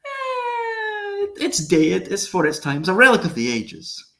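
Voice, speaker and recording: Male podcast host, animated pitch, chummy and upbeat, casual interview. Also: slow, gravelly. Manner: chummy, upbeat hype-man intros.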